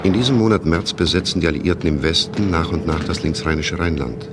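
A man's voice: low voice, fairly quick, lightly acted. A man delivering sober, factual, documentary-style scripted narration in a radio play. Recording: noisy, war sounds behind.

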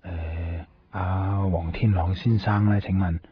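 A man's voice: low-pitched, steady tone, measured pace, slightly rough, heavily acted. An actor playing a cocky, breezy, mysterious man with a Hong Kong Cantonese accent in a radio drama. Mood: casual, probing. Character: cocky, easygoing, mysterious man who finds lost things. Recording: dull 1990s radio with music. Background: music.

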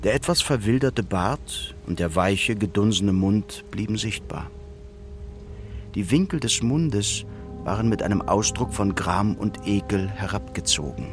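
A man with a fairly low voice narrates a literary radio play. He is solemn, precise and measured in his phrasing. Soft music plays faintly behind him. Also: lively intonation.

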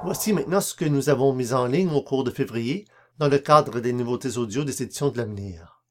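A man's voice: medium pitch, brisk, noisy background. A man hosting a podcast, reading out announcements in a scripted presenting style. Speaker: podcast host, interviewer. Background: faint music.

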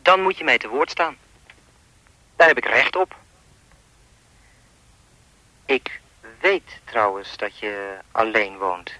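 A creepy male caller in a radio play, with a bright, dry sound and lively, swinging intonation. He is insinuating and persistent, needling his listener and refusing to let go. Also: on the low side, measured pace, no echo, full character voice, low-quality recording. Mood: insistent, sinister. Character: creepy insistent male anonymous caller toying with woman.

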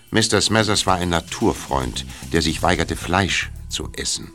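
A man's voice: deep voice, bright, forceful tone. A man narrating an audio drama from a script in a storytelling style.

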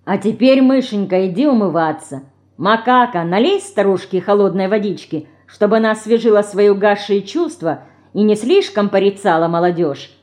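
A woman voicing a thoughtful, good-natured teenage boy with a measured, conciliatory manner in a storybook reading. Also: mid-range voice, lively intonation, a little mellow, lightly acted.

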